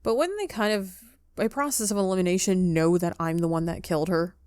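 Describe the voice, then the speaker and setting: A woman's voice: on the low side, fairly quick, a little mellow, clear, resonant tone, no echo, studio quality. A woman giving casual, chatty gameplay commentary, talking affectionately to her viewers as host of her channel. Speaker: video game streamer, channel host.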